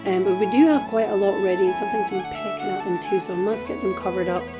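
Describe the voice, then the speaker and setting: A Scottish woman hosting a cooking tutorial in a chatty, relaxed way, her voice a little hoarse from a dry throat. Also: mid-range voice, fast talker, over music. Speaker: cooking channel host. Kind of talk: casual cooking tutorial voice-over.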